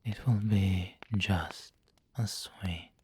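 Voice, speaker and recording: Man acting a very slow, whispering vampire lord. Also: fairly even intonation, darkish tone, exaggerated voice. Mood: patient, hungry. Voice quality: breathy, whispery low voice. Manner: slow, intimate, formal wording. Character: doting, refined, seductive male vampire lord. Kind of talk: whispered ASMR roleplay.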